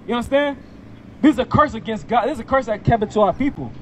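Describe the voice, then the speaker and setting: Young man, high voice, emphatic outdoor street preacher on a handheld mic with faint traffic behind. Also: animated, quick pace, a bit bright. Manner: emphatic, questioning, repeats words. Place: outdoors by a parking lot.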